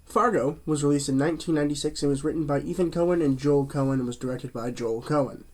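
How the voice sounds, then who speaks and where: A man's voice: on the high side, brisk, darkish tone. A man hosting his own movie review channel, chatting casually through a cold that leaves him a bit stuffy and nasal, rambling with plenty of fillers and restarts.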